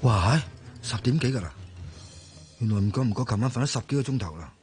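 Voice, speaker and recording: A man's voice: mid-range voice, lively intonation, a little fast, a little mellow, soft background music, lightly acted. An actor playing a bewildered injured man in a Cantonese radio drama, groaning and protesting with a Hong Kong accent. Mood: weary, insistent. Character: bewildered injured man insisting on his own identity.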